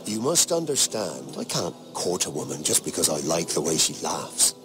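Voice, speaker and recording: An actor playing a courteous, wary middle-aged lord in a fantasy game, confiding gossip discreetly. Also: male voice, low-pitched, sing-song, normal speed, music in the background. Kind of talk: dramatic fantasy game acting.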